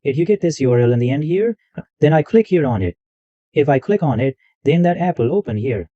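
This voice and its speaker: A man hosting a screen-recorded software tutorial, narrating every click step by step in loose, repetitive wording. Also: a little high, expressive, a little fast, no room sound. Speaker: tutorial host. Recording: dull lo-fi dry mic sound.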